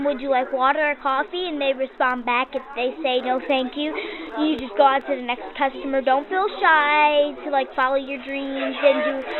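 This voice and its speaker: A woman's voice: high voice, phone-quality sound. A female trainee train hostess giving casual interview answers through a vintage radio filter. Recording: band-limited old-radio sound, crowd chatter behind.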